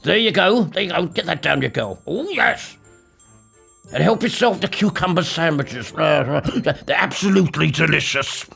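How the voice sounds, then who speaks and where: A man voicing a scatty, childish, excitable male storybook eccentric who stammers and repeats words frantically. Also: a little high, moderate pace, gravelly, breathy edge, soft background music, voice pushed up, full character voice. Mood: excited, welcoming.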